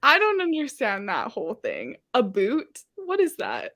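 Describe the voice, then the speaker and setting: A woman's voice: a little high, a little slow, no room sound, clean studio sound. A Canadian woman with a Canadian accent, telling stories animatedly in a casual video-call chat. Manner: animated storyteller.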